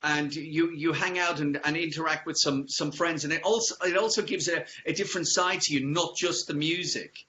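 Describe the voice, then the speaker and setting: Casting: male radio host, fairly high bright voice, chatty rambling interviewer, slightly dull lo-fi sound. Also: steady tone, normal speed, few pauses. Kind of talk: casual interview.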